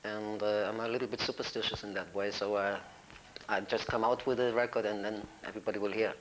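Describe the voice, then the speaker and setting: A man with a German accent, a pop singer speaking German as his first language, answering interview questions in halting, broken English. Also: fairly low pitch, flat delivery, low-quality recording. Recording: interview with music playing behind.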